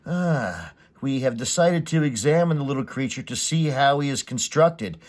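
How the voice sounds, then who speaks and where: A man's voice: medium pitch, animated, normal speed, close to an everyday voice. A man voicing an aloof, coolly curious adult giant from a comic story, speaking in formal, stilted pronouncements. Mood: clinical.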